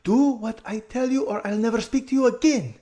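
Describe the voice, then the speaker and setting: A man's voice: very high voice, a little fast, warm tone, voice pushed up. A man voicing a serious, bossy young runaway noble girl in a storybook, speaking with anxious, insistent urgency. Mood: furious, urgent.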